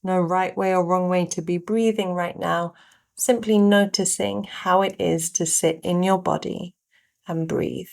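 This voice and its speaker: A woman guiding a meditation, giving gentle instructions in short phrases with pauses between them. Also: on the low side, steady tone, gravelly. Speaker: meditation guide. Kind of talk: guided meditation narration.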